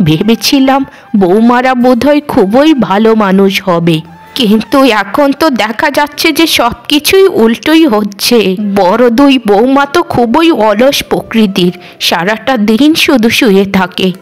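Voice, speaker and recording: A woman's voice: fairly high pitch, lively intonation, normal speed, close to an everyday voice. A woman voicing an elderly cartoon mother-in-law, weary and scolding, with a lamenting, nagging way of talking. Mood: weary, fond. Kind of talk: Bengali cartoon voice acting.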